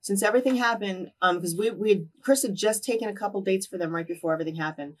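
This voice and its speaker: A woman in her thirties tells stories at a brisk clip, slipping into the voices of the people she quotes. Her voice sits at a middle pitch. The mic picks her up from a little way off. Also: distant mic. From New Jersey. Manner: lively storyteller who acts out quoted dialogue. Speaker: interview guest. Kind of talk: casual storytelling in a sit-down interview.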